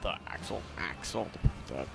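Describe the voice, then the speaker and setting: A man's voice: a bass voice, expressive, moderate pace, gravelly. A man giving casual, unscripted video game commentary in a rambling, slangy, laid-back way. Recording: loud game music and vehicle noise behind. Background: game music and vehicles.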